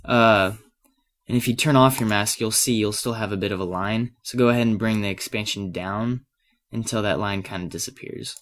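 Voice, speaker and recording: Low, slightly raspy man teaching software casually. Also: normal speed. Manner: hesitant, thinking aloud while working. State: has a cold. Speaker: tutorial presenter. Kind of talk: casual unscripted software screencast lesson.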